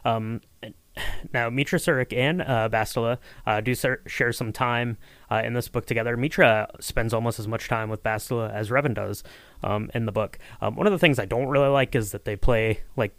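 A man's voice: on the low side, no room sound. A man hosting a casual podcast discussion in a conversational manner, steering it along. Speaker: podcast host.

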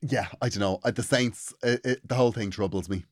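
A man's voice: fairly low pitch, fairly quick, dry recording, studio quality. A man with an Irish accent in casual comic chat as a podcast co-host, quipping in a wry, mock-serious way.

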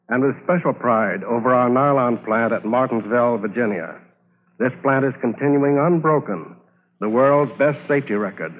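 An American man reading a formal acceptance speech on 1950s radio. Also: mid-range voice. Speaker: company manager accepting an award. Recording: tinny band-limited old radio broadcast.

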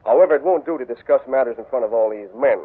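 An actor playing a smooth, scheming gambler villain with a suave manner, in a 1940s radio drama. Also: male voice, medium pitch, fast, lightly acted. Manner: suave, crafty. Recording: tinny old radio broadcast.

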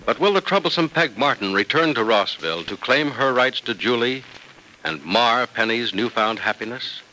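A man's voice: medium pitch, lively intonation, normal speed, sharp tone. An American man giving formal, theatrical scripted narration as a 1940s-style radio serial announcer. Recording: noisy vintage radio broadcast recording.

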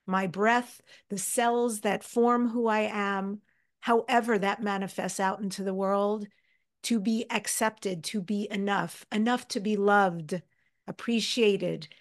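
A woman hosting a spiritual interview podcast, speaking earnestly and affirmingly in short, measured phrases. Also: medium pitch, steady tone. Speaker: podcast host.

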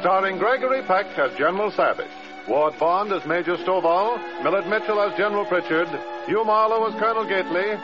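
A high-voiced American man announces in formal, projected old-time radio style. Music plays clearly behind him. The sound is dull and dated. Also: brisk. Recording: muffled old radio broadcast.

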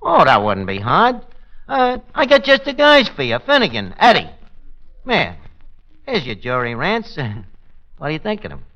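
Brash, slangy New York barkeep hustler, bright and forceful, on muffled old radio. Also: male voice, on the high side, huge pitch swings, moderate pace, long pauses, full character voice. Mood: smooth-talking. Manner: malapropisms, slangy wisecracks. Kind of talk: 1940s radio comedy acting.

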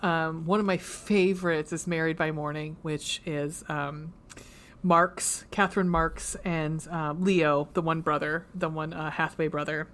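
A woman with a low voice co-hosting a podcast, chatting casually and swearing freely, with frequent ums and trailing thoughts. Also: fairly even intonation, a little slow, a bit bright. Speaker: podcast co-host. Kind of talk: casual chat.

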